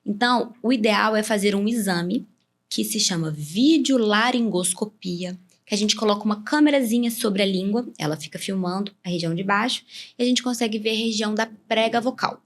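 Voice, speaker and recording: A woman's voice: medium pitch, brisk. A woman ear, nose and throat doctor with a Brazilian Portuguese accent, explaining health matters step by step with simple comparisons as a podcast guest. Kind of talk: explanatory interview talk.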